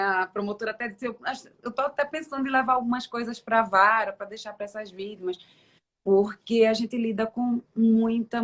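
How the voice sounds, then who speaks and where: A Brazilian woman judge interviewing a guest, speaking in an encouraging, reflective conversational way. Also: mid-range voice. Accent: Brazilian Portuguese.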